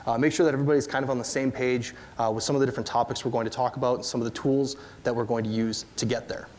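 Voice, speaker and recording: Midwest guy doing a tech talk on stage, laid back, lots of ums, middle pitch. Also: racing, a little hiss or hum. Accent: upper Midwest American. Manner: relaxed, chatty, many fillers, asks audience questions. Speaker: conference presenter. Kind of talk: technical conference talk. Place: large dark stage before an audience.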